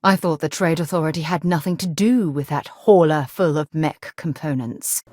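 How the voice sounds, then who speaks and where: Husky, composed woman space explorer. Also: sits low, darkish tone, no echo, clean studio sound. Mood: firm, reproving. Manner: articulate, measured, formal turns of phrase. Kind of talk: video game companion voice lines.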